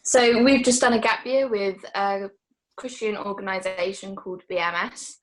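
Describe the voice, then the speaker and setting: An eighteen-year-old woman from England giving a casual, chatty group presentation about her gap year, pausing now and then to search for words. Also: mid-range voice, fairly even intonation, low-quality recording. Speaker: gap-year team member.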